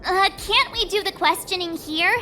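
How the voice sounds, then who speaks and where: An actress playing a brash, sarcastic, impulsive young girl adventurer in a video game, blunt and expressive. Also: extremely high pitch, normal speed, a little hiss or hum. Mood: casual, wary. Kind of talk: scripted video game cutscene acting.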